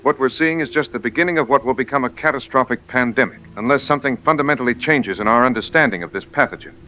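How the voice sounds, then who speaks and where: A man playing a blunt, grim, urgent outbreak expert who gives deliberate, emphatic instructions in a radio drama interview. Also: medium pitch, sharp tone, close to an everyday voice. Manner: deliberate, emphatic, listing advice. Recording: dull lo-fi radio sound.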